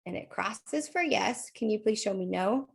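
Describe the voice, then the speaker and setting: A woman co-hosting a podcast in casual chat, thinking aloud with fillers and frequent laughs, her voice a little croaky. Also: medium pitch, animated, moderate pace. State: slightly croaky voice. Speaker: podcast co-host.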